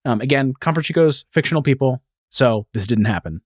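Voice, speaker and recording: A man's voice: medium pitch, expressive, a little fast, dry recording, clean studio sound. A man hosting a podcast, telling stories and explaining history in an informal, digressive way with frequent asides. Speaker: podcast host. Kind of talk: casual explanatory storytelling about film and history.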